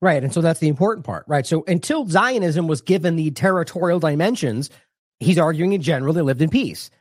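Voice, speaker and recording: A man's voice: a little high, animated, fairly quick, darkish tone, dry recording, clean studio sound. A man hosting an independent news show, commenting on clips in a discursive way and often correcting himself mid-sentence. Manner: discursive, self-correcting, reads quotes aloud. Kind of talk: commentary over played clips.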